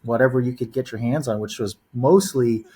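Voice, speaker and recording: A man in his forties tells long stories as a podcast guest. He talks in a loose, wandering way, full of "you know" and "um", at an ordinary mid pitch. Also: brisk, mellow, breathy edge. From born in the Philippines, raised in Los Angeles. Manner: rambling storyteller, heavy on "you know" and "um". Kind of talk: casual podcast interview.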